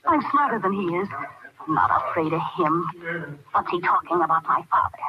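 A woman's voice: on the low side, sing-song, moderate pace. A woman acting in an old radio drama, playing a spoiled, defiant nineteen-year-old rich girl. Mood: tense inner monologue. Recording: noisy, muffled old radio recording.

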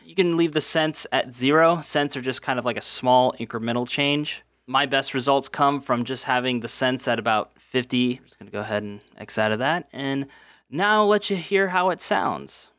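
Casting: male tutorial host, mid-pitched, informal step-by-step narration, close dry mic. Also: normal speed, fairly bright tone, no room sound. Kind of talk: casual instructional voice-over.

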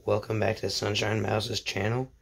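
A man's voice: on the low side, flat delivery, normal speed, creaky, breathy edge. A young man hosting a casual show-and-tell vlog at home, a little sick, rambling and self-deprecating, often dropping into whispers. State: sick, voice slightly off. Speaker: channel host.

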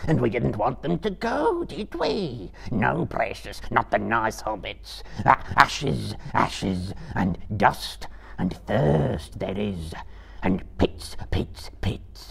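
A man voicing a wretched, pitiful creature that whines, hisses and sobs in a high raspy voice. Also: big pitch swings, slow, full character voice. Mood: fearful whisper. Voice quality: high whining, rasping and hissing. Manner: sobbing, whimpering, shrieking in bursts. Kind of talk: audiobook character voice.

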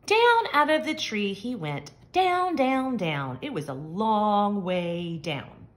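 A woman reading a picture book aloud to young children, with expressive, simple and emphatic phrasing. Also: mid-range voice, takes it slowly. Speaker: children's story reader. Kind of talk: expressive storybook read-aloud.

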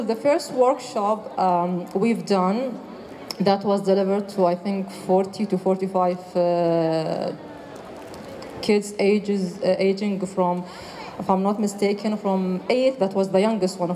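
A woman's voice: medium pitch, a little slow, dark tone, a little hiss or hum. A woman on a panel answering a question at length, with many fillers and restarts, speaking into a handheld mic on a hall stage. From Qatar. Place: stage of a large indoor hall.

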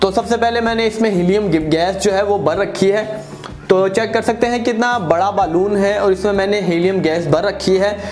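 A man's voice: high voice, normal speed, darkish tone. A man in his twenties from Pakistan with a Pakistani accent, chatting casually and enthusiastically to camera as a video host, often repeating himself. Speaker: video host doing experiments. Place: indoors, plain-walled room. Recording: music in the background. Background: music.

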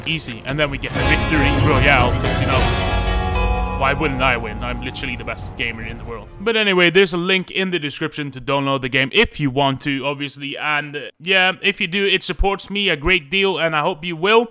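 A man's voice: on the high side, fairly quick, pushed and bright, soft background music. Joking young man bragging about his gaming. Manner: jokey, self-aggrandizing, rambling. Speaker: gaming YouTuber. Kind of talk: mock-boastful sponsor plug, then gaming banter.

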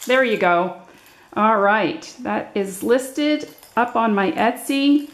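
An older woman giving a casual, unscripted show-and-tell as a craft vlogger, halting and thinking aloud as she goes. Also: a little high, animated, low-quality recording. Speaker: craft vlogger showing her handmade journals. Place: indoors at a table, hands-only overhead camera.

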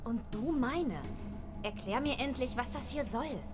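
An actress playing a sharp-tongued young woman healer who demands answers, in a video game dub. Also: high voice, lively intonation, measured pace. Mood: impatient. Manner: impatient, demanding. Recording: music underneath.

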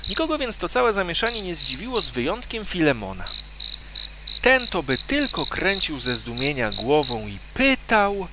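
A man narrates a children's tale with a very animated, swooping delivery. He leans on words theatrically and pauses for suspense, over loud night noise of crickets and frogs. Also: a little high. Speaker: storyteller. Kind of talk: scripted children's story narration.

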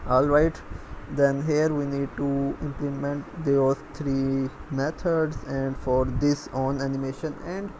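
A man giving a step-by-step screencast programming lesson in a halting way, as if thinking aloud while typing. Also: medium pitch, little pitch movement, darkish tone, a little hiss or hum, poor audio. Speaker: programming tutorial instructor. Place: voice-over recorded over screen capture.

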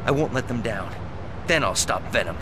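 A man's voice: on the low side, lively intonation, normal speed, noisy background, close to an everyday voice. An actor playing a young, wisecracking but earnest male superhero in a video game. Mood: resolute. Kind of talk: animated video game acting.

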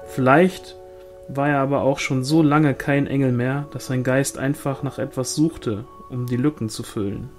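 A man's voice: mid-range voice, steady tone, moderate pace, soft background music. A man reading a fantasy novel aloud as scripted audiobook narration. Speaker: audiobook reader.

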